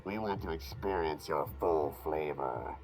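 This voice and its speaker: A man voicing an ancient, hungry tentacled parasite in a video game dub, speaking slowly and formally in an ominous way. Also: extremely low pitch. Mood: hungry, creepy. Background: loud music.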